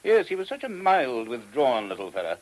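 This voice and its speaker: Courteous, earnest British abbot, old radio play. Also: male voice, medium pitch, wildly swooping pitch, fairly quick, rough recording. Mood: troubled, courteous. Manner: hesitant, genteel, old-fashioned English turns of phrase. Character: courteous, earnest Father Abbot of a monastery, firm on principle. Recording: old radio drama recording.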